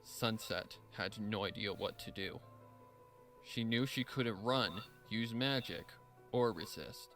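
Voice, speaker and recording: A man narrating a dramatic scripted story in a measured storytelling style. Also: fairly low pitch, sharp tone. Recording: voice over background music.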